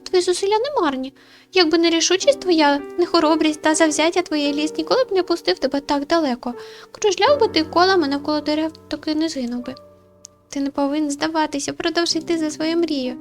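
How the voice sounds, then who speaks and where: A gentle forest nymph, put on by a woman reading a game speaks in a very high voice. She is sorrowful and tender, phrasing things in a lofty fairy-tale manner over faint music. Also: fairly quick, natural voice. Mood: earnest encouragement.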